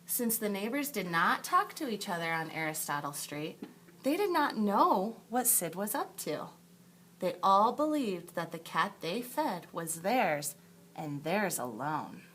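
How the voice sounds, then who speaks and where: A woman schoolteacher reads a children's story aloud at a slow, deliberate pace. Her voice sits at a medium pitch, and she leans on words for emphasis as she addresses her young pupils. A light background noise and hum runs under the recording. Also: very animated, fairly bright tone. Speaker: elementary teacher reading aloud. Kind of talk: expressive picture-book read-aloud for second graders.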